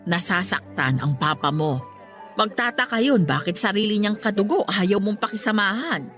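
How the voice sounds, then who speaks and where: A woman playing a concerned middle-aged mother who gently scolds her grown child in a Tagalog radio drama. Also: mid-range voice, lively intonation, moderate pace, soft background music, lightly acted. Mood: gently reproachful.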